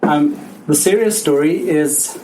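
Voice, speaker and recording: A man's voice: on the high side, slow pace, warm tone, slight background noise, low-quality recording. A middle-aged man with a South African accent, a physician telling a personal story live to an audience in an earnest, conversational way. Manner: earnest, conversational, with frequent restarts. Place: library, live event.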